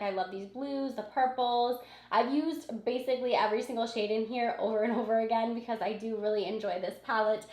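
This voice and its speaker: A woman's voice: on the high side, echoey room. A woman beauty vlogger with a cold and a scratchy, sniffly voice, chatting casually and gushing about her favorites, repeating words for emphasis. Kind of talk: casual product review chat.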